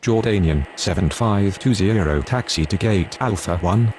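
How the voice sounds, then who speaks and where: Casting: male actor playing a businesslike aviation radio man for a game, low register, clipped phrasing, slight background noise. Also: moderate pace, a little mellow, natural voice. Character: male pilot and controller on airport radio, businesslike. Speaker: air traffic radio voice in a mobile game.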